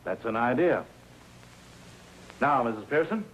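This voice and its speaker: Male actor as police detective, measured, sardonic, probing, mid-pitched, vintage TV crime drama, faint noise. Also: lively intonation, close to an everyday voice. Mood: dry, probing. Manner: measured, dry, probing questioning. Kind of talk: 1950s television crime drama acting. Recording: noisy 1950s TV soundtrack.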